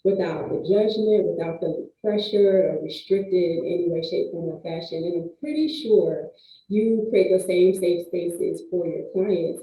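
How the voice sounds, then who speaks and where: A woman's voice: fairly low pitch, warm tone, reverberant, rough recording. A woman hosting a casual interview podcast as a licensed counselor, upbeat with emphatic phrasing.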